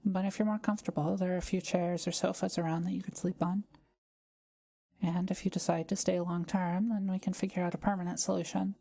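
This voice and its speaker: A woman playing a kind, soft-spoken forest witch in an intimate audio roleplay, talking to the listener in gentle, formal, old-fashioned wording. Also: fairly low pitch, level tone, fairly quick, vocal fry. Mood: warm, hospitable.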